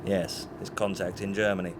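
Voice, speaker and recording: An actor playing a discreet, courteous Scotland Yard detective and bodyguard in an audio drama, polite and official. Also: male voice, low-pitched, level tone, normal speed, natural voice. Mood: guarded, courteous. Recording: clear background vehicle noise.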